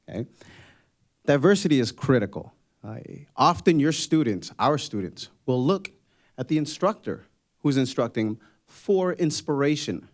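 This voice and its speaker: A man whose first language is Spanish, answering as a candidate at a public forum in a firm, emphatic way. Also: mid-range voice. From immigrant, first language Spanish. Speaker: board candidate.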